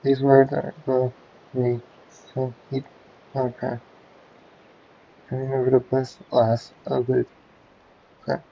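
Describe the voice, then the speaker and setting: A man's voice: mid-range voice, monotone, takes it slowly, vocal fry, pauses often, slightly rough. A man streaming a game, reading its text aloud. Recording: muffled audio with game music.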